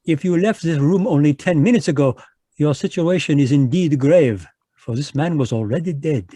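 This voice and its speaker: A fussy, courtly Belgian detective played by a man in a vintage radio mystery, with a put-on French accent. He lectures in a mellow, slightly high voice and drops French words between his deductions. The sound is dry and close. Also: moderate pace, no echo, close to an everyday voice. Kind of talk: 1940s radio acting. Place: home, live video call.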